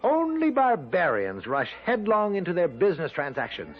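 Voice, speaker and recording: An actor playing a cultured old shopkeeper with courtly, flowery speech, in old-time radio drama. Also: male voice, high voice, huge pitch swings, moderate pace. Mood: genial, expansive. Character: genial, cultured, philosophical foreign-born old shopkeeper. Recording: dull lo-fi vintage radio, music behind.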